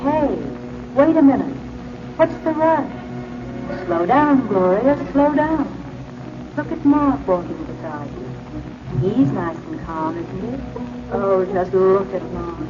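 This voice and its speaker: An insistent, fretting female inner voice that pleads and prods with big swings of pitch. The sound is a muffled vintage radio drama with music behind. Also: lively intonation, slow pace, long pauses. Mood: fretful. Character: female conscience of a bride, fretful, urging inner voice. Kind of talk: 1940s radio drama acting.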